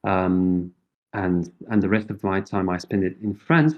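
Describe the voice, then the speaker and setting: Man, Portuguese accent, middle-aged, lowish voice, halting with fillers. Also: steady tone, dull audio. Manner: thoughtful, many fillers and restarts. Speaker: associate professor, guest. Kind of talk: interview answers.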